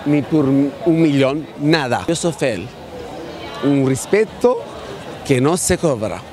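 A man's voice: on the high side, animated, slow, crowd noise. A man giving short, emphatic, casual answers in an outdoor street interview.

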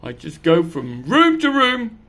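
A woman's voice: lowish voice, huge pitch swings, fairly bright tone, heavily acted. A woman voicing a grumpy, bored indoor cat in a picture-book read-aloud, whiny and melodramatic. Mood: bored, mournful. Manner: whiny, melodramatic, drawn-out delivery.

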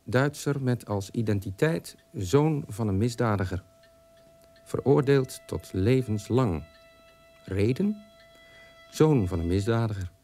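An actor in a radio play monologue, playing a bitter, self-loathing son of a war criminal who broods and questions himself. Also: male voice, fairly low pitch, dark tone.

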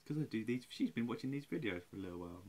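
A man's voice: mid-range voice, dark tone. A man of about forty, tired and rambling, swearing and mocking himself in a home webcam vlog. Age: 40s. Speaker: vlogger. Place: small room at home, indoors. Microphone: webcam at close range.

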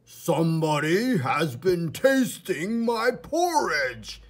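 A man voicing a great big, gruff, indignant father bear in a storybook, with a gravelly, husky voice. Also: on the high side, big pitch swings, slow pace, voice pushed up, heavily acted.

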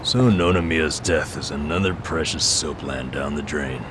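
A man's voice: extremely low pitch, moderate pace, noisy background. An actor playing a dry, inquisitive, cool-headed man in a video game. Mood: puzzled, dry. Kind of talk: English video game cutscene dub. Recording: music behind the voice.

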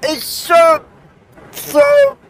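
An eager, childlike young Edwardian assistant with an English accent, voiced by a woman. The voice is high and swoops about, gushing and hesitant, in a comic audio drama with clear background noise. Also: big pitch swings, very slow, full character voice.

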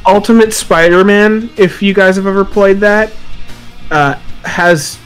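A man's voice: sits high, fairly even intonation, unhurried, fairly bright tone. A man talking casually and loosely about video games in a group podcast, rambling and swearing as he goes. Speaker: podcast panelist. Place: online group voice chat. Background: guitar music.

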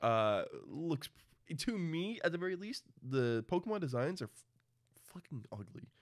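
A man co-hosting a casual film discussion, halting and repeating words for emphasis. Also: fairly high pitch, very animated, dry recording, studio quality. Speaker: podcast co-host.

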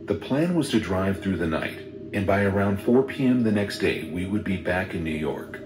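A man reading a first-person horror story in a steady, measured storytelling voice. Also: deep voice, background noise. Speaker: narrator. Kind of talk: scripted horror story narration. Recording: roomy sound with music underneath.

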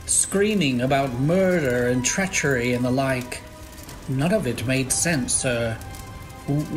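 A man plays an English household servant in an old-time radio drama. He is deferential and rattled, speaking slowly and haltingly at a middling pitch. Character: deferential, shaken English manservant.

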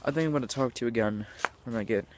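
A man's voice: medium pitch, rapid-fire, background noise. A teenage boy with a nasal, congested voice, rambling jokily in a selfie vlog recorded on a phone at arm's length outdoors. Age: teens. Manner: rambling, self-mocking, jokey. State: congested sinuses. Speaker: vlogger. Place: outdoors in cold woods, then indoors.

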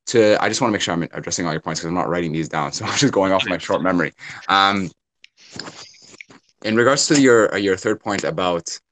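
A man's voice: sits low, a bit bright. A young man on a video call, earnestly explaining his view with everyday examples and many fillers. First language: English. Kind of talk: casual debate on a video call. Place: small indoor room. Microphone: phone or laptop on a video call.

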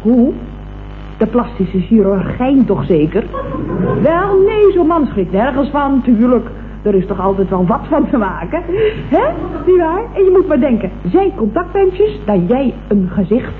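A woman's voice: fairly high pitch, big pitch swings, unhurried. An actress playing a chatty, tactlessly blunt female office employee in a vintage Dutch radio comedy. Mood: chatty, gushing. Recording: muffled, noisy vintage radio recording.

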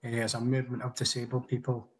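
A man in his thirties giving a prepared conference talk over a video call from a room at home, measured, with small restarts. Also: mid-range voice, completely flat delivery, breathy edge. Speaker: conference speaker. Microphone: video call webcam.

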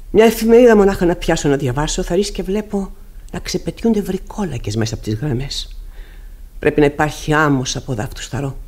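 A woman's voice: sits low, a little mellow. Bitter, defiant widowed mother. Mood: haunted. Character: bitter, defiant middle-aged widowed mother, haunted by the past. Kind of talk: classic radio drama acting.

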